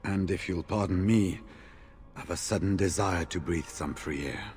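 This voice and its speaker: An actor playing a weary, courteous senior warden freed from captivity, measured and formal, in a video game. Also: male voice, low voice, a little mellow, lightly acted. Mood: grave, relieved. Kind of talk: video game cutscene acting.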